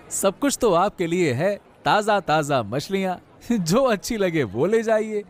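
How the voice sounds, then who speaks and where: A man's voice: sits high, expressive, takes it slowly, some chatter behind. A man voicing a humble, pleading village fishmonger in a cartoon story, deferential and coaxing. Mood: eager, coaxing. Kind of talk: Hindi cartoon moral story dialogue.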